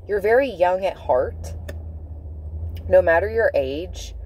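A woman giving an intuitive tarot reading, speaking in deliberate, halting phrases with emphatic repetition. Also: fairly low pitch, lively intonation, a little mellow, slight background noise, low-quality recording. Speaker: tarot reader. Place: inside a parked car.

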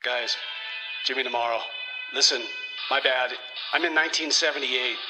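A man playing a casual, chummy, excitable time traveler leaving messages in comedy radio theater. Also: medium pitch, moderate pace, natural voice. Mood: casual urgency. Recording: music playing behind the voice.

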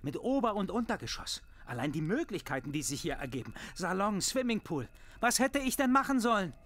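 A man's voice: high-pitched, very animated, fairly quick, fairly bright tone, slight background noise. A man playing a middle-aged family father in a horror audio drama, defensive, scoffing and sarcastic. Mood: defensive, indignant. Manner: sarcastic, scoffing, self-justifying.

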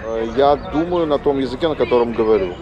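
A man in his fifties, from Russia, talking as a local tour guide in a thoughtful, casual way with frequent "uh" pauses. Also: mid-range voice, dull audio, a crowd in the background. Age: 50s. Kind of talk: casual guiding talk on a walk. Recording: street noise behind.

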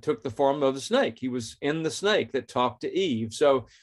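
Middle-aged guy doing his faith podcast to the webcam, earnest plain storytelling, normal pitch. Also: expressive, normal speed. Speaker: podcast host.

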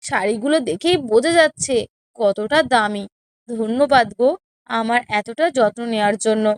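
Haughty, high-voiced young wife played by a woman. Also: normal speed, clear, resonant tone, no room sound, close to an everyday voice. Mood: smug, pleased. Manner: scolding, sneering, imperious. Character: haughty, spiteful younger co-wife in a village household. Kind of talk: children's cartoon story dialogue.

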